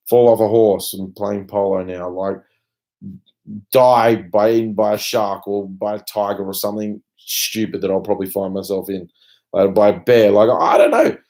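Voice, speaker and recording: Young Australian male vlogger, low voice, unscripted rant delivery, blunt, emphatic, sweary. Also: darkish tone. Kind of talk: unscripted personal rant to camera.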